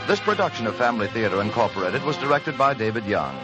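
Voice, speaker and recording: Animated man reading radio credits over music. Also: medium pitch, fast, dull audio. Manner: formal. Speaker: radio program announcer. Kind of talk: 1940s radio credits announcing, scripted.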